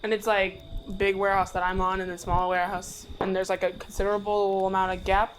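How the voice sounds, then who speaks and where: A woman's voice: medium pitch, level tone, fairly quick, background noise. A woman player describing her moves in casual tabletop game chat.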